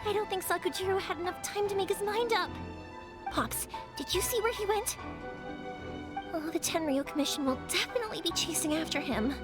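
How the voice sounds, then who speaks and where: A cheerful young fireworks-maker in a fantasy game, eager to help. Her friendly voice sits high and bounces lively, with loud game music beneath it. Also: brisk. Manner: bright and friendly, earnest.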